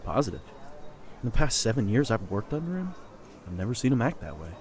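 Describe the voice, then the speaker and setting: A man playing a kind, earnest young mercenary in an audio drama. He talks in a warm, reassuring way. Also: medium pitch, big pitch swings, fairly quick.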